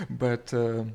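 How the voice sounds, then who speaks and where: A man from Lithuania hosting a livestream, talking haltingly with many fillers and restarts as he reads the chat aloud. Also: medium pitch, creaky, dark tone, smooth. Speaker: livestream host.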